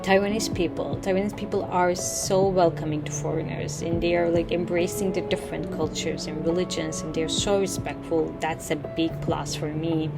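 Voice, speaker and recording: A woman's voice: on the low side, fairly even intonation, moderate pace, gravelly, few pauses, over loud music. A woman from Turkey, a PhD student, talking eagerly about her life abroad with many fillers. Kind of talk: casual testimonial.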